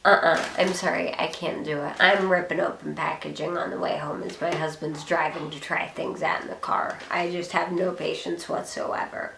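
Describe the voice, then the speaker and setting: Hoarse chatty young woman, gravelly voice. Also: low-pitched, fairly even intonation, normal speed, few pauses, fairly bright tone, roomy sound, a little hiss or hum. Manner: chatty, rambling, frequent ums. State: hoarse from a cold. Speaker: beauty vlogger. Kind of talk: casual product haul chat.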